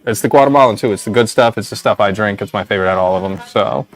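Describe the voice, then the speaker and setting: A man's voice: lowish voice, racing. A young man with a nasal voice, hosting a casual shop livestream and rambling through giveaway details with a lot of "right?".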